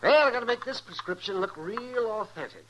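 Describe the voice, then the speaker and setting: An actor playing a crotchety, mischievous old frontier doctor with a folksy American accent and a chuckling manner, in old-time radio western style. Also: male voice, high-pitched, animated, a little fast, a bit bright, dull audio. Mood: sly, chuckling. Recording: noisy old radio recording.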